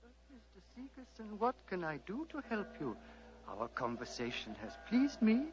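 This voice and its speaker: An actor playing a serene, fatherly old Tibetan abbot in a measured, formal radio drama style. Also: high-pitched, huge pitch swings. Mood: calm, fatherly. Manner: measured, formal, gently paternal. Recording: muffled vintage radio, hum and hiss.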